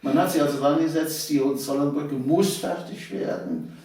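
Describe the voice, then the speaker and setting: A man's voice: a little high, steady tone, normal speed, darkish tone. A man tells eyewitness stories conversationally, emphatically repeating phrases. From Cologne, Germany. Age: 70s. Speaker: interview guest, storyteller of local history. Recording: echoey room, distant microphone.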